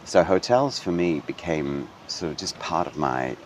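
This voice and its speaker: A middle-aged man from Denmark with a very low, deep voice talks through a relaxed interview. He is thoughtful and unhurried, full of ums and restarts, with faint background hiss. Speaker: hotel general manager. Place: hotel grounds.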